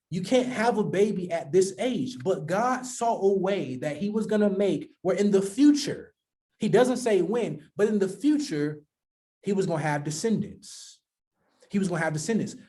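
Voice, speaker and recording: A man's voice: sits high, dark tone. A man preaching a sermon in a conversational way, reading scripture aloud and then explaining it, often repeating his key phrases.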